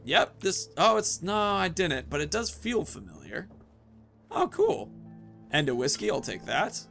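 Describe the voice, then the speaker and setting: A man's voice: on the high side, huge pitch swings, measured pace, creaky, a bit bright, soft background music. A man in his thirties giving casual, rambling commentary as he plays a video game, often thinking aloud and muttering asides. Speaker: video game streamer and host.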